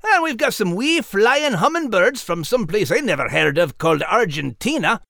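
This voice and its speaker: A man's voice: high voice, sing-song, moderate pace, gravelly, bright, forceful tone, dry recording, clean studio sound, pitched above the performer's own voice, exaggerated voice. A man voicing a plain-spoken, kindly male dog with a Scottish accent and a folksy manner, as in an audiobook. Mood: jaunty, teasing. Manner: folksy, blunt. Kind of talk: audiobook character acting.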